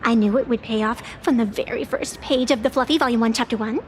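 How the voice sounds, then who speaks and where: A woman's voice: a little high, fast talker, slight background noise, natural voice. An actress playing a playful, theatrical young woman with secret powers in a game, with a dramatic delivery. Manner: teasing, dramatic. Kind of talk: scripted game dialogue.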